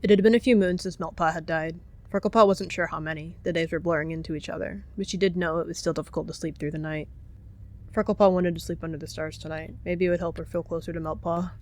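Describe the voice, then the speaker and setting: Mid-pitched dramatic story narrator. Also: fairly even intonation, fast talker, darkish tone. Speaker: roleplayer narrating a character.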